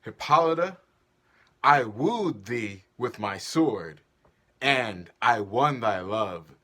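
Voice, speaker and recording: A man's voice: medium pitch, lively intonation, takes it slowly, pushed and bright, full character voice. A man playing a grand, commanding duke in a stately, declamatory Shakespearean stage reading. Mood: proud. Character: grand, commanding male duke, measured and solemn.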